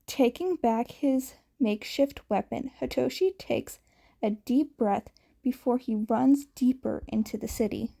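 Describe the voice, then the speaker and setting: A woman narrating a scripted story in a measured way, with the occasional stumble over a word. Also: fairly high pitch, vocal fry, warm tone. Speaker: fan fiction reader.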